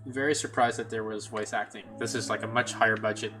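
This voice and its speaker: A man's voice: fairly low pitch, fairly even intonation, fast. Chatty man thinking aloud over a game. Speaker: gameplay streamer. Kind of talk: casual let's play commentary. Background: game music.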